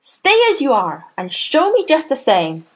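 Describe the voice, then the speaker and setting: Woman doing a loud sneering tyrant headmistress, slow and pushed high, storybook villain. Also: huge pitch swings, exaggerated voice, rough recording. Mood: scornful. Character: bullying hulking female headmistress, sarcastic.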